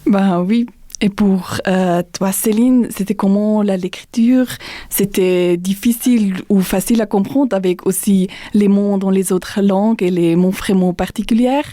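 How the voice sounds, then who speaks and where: A young woman student hosting a podcast discussion, speaking slowly and carefully. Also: medium pitch, fairly even intonation, dark tone, dry recording, studio quality. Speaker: host and student.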